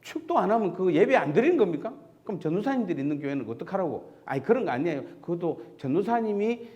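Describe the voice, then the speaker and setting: A man's voice: sits high, big pitch swings, quick pace, a little mellow, highs cut off. A man from Seoul, a pastor giving a conversational sermon in a church sanctuary, asking the congregation questions and answering them himself.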